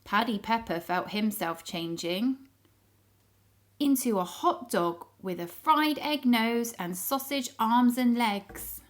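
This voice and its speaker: A woman reading a story aloud to young children in an expressive storytelling style. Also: on the high side. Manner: pauses to ask the child listeners questions.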